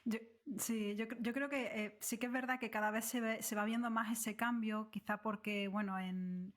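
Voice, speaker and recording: A woman co-hosting a casual podcast chat, speaking in a measured way with many hesitations. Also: fairly high pitch, steady tone.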